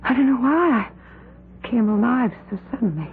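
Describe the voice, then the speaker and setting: A terrified young wife. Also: female voice, on the high side, sing-song, normal speed, a little hiss or hum. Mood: frightened. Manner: pleading, rising panic. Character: frightened young actress wife waking in terror. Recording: tinny old radio broadcast.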